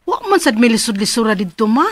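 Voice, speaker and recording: An actress playing a diligent, excitable young bride with a Cebuano accent in a radio drama. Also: female voice, fairly high pitch, fast talker, no echo. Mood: reassuring. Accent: Filipino, Cebuano. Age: young adult.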